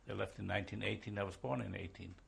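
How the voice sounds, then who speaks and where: A man in his seventies, born in Switzerland and raised in Germany, answering questions haltingly in an oral history interview. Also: on the low side, steady tone, vocal fry, fairly bright tone, husky. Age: 70s. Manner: halting, with pauses and fillers.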